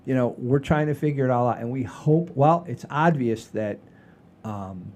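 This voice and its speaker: An older man hosting a casual podcast, rambling in a folksy, halting way with repeats and the odd mild swear. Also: mid-range voice, a little mellow, slight background noise. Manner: folksy, mildly profane, halting and repetitive. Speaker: podcast host.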